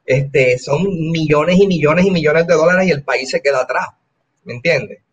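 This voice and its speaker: Puerto Rican comedian guest, middle-aged man, fairly high-pitched, talkative and opinionated. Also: moderate pace, breathy edge, dull audio. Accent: Puerto Rican Spanish. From Puerto Rico. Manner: chatty, keeps asking "me entiendes?". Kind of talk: casual interview over a call.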